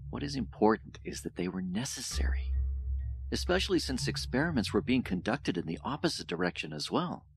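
Pompous, animated older professor. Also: male voice, mid-range voice, fairly quick, gravelly. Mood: defensive, emphatic. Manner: pompous, lecturing, formal wording. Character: older male professor, condescending, coldly rational zealot. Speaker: actor in an audio drama. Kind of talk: audio drama dialogue. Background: music.

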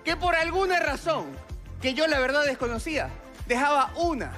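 A man's voice: extremely high pitch, animated, normal speed, sharp tone. A man in his twenties with a Venezuelan accent, performing theatrical stage magic patter and addressing judges directly through a headset mic. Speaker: stage magician, talent show contestant. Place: large TV studio theatre stage. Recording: music under stage performance. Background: music.

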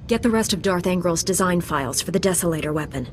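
A woman playing a composed, resolute knight in a video game, serious, measured and direct. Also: fairly low pitch, animated, a little hiss or hum, natural voice. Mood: composed, businesslike.

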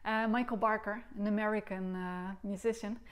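A woman's voice: mid-range voice, steady tone, normal speed, clear, resonant tone. A woman in her thirties with a Dutch accent, a recorder teacher patiently explaining articulation and sounding out syllables in a living room. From Netherlands. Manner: explains patiently and demonstrates syllables aloud with gestures. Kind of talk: demonstration lesson. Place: living room, seated on a sofa.

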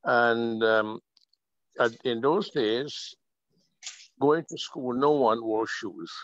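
An older man from Guyana telling his life story on a video call, slow and halting with many fillers. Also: mid-range voice, a bit bright, no room sound. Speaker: guest speaker. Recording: muffled video call audio.

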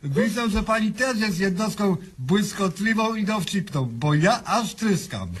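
A man's voice: high voice, expressive, a little slow, husky, full character voice. A man playing a smug, jocular presidential candidate in a radio comedy sketch, pompous and mangling his grammar. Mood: smug, jovial. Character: smug, cheerful male presidential candidate, crude, self-satisfied patient. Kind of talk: satirical radio sketch acting.

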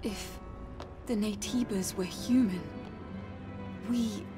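An actress playing a serious, pensive young female android soldier in a video game, speaking soberly and deliberately. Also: mid-range voice, recorded from a distance, over music, natural voice. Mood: grave realization. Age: young adult. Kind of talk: video game cutscene acting.

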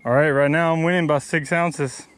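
A young man filming himself fishing at a pond, chatting casually and teasing his brother with excited, laughing commentary. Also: fairly high pitch, normal speed. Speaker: angler filming a fishing challenge. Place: outdoors by a rural pond. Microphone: head-mounted action camera.

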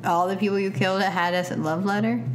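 A woman co-hosting a casual podcast as the superfan, chatty and quick to laugh as she fills in details. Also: mid-range voice, fairly even intonation, slight background noise, low-quality recording. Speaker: podcast co-host, superfan of the show. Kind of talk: casual chat.

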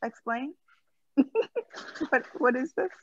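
A woman's voice: sits high, slow, slight background noise. A woman hosting an online cooking session in casual, exclamatory chat. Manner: lively, exclamatory. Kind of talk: casual video call chat. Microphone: video call. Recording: slightly dull video call audio.

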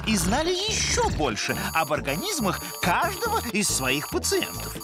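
Learned cartoon mentor, theatrical, pompous, enthusiastic, very animated intonation, musical backing. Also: mid-range voice, measured pace, loud music behind the voice. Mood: eager explaining. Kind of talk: educational cartoon dialogue.

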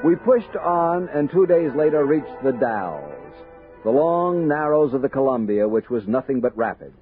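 An actor playing a thoughtful, commanding American army captain in a 1960s radio drama, measured and authoritative. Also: male voice, mid-range voice, big pitch swings, natural voice. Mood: grave narration. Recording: dull vintage radio recording with music.

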